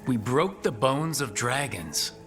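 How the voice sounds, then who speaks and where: A plain-spoken fantasy game hero, wry and conversational. His voice is medium in pitch, with clear music running behind it. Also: lively intonation, takes it slowly, fairly bright tone. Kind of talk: video game voice acting.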